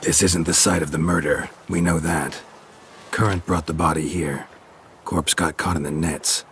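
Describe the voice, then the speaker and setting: A man voicing a gruff, stoic monster hunter in a video game, speaking in terse, deadpan, clipped phrases. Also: very deep voice, moderate pace, a little hiss or hum. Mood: terse, businesslike. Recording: music behind the voice. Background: music.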